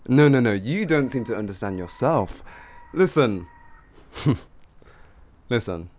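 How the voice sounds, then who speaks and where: A man's voice: mid-range voice, expressive, a little mellow. A man playing a cocky, flirty, streetwise bandit in an improvised fantasy roleplay, with teasing, theatrical delivery. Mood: condescending, warning. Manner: teasing, theatrical, drawn-out phrasing with chuckles.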